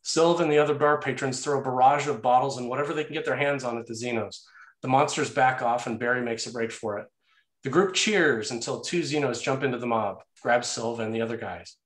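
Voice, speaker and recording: A man's voice: medium pitch, a little fast, fairly bright tone. A man narrating action and scene headings from a screenplay in a scripted read. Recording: roomy, echoey sound.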